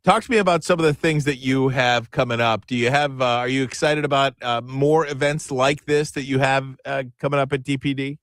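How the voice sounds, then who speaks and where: A man's voice: mid-range voice, steady tone, a little fast, hardly any pauses, a bit bright, no room sound. A man hosting an afternoon radio talk show, chatty and self-deprecating. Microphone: studio microphone.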